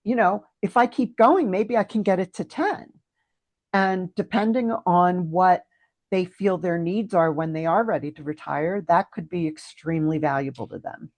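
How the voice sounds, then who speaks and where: Low-voiced woman, thoughtful and unhurried. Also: a little mellow, no room sound. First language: French. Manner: thoughtful, hesitant with frequent ums. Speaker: guest. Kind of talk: casual podcast interview.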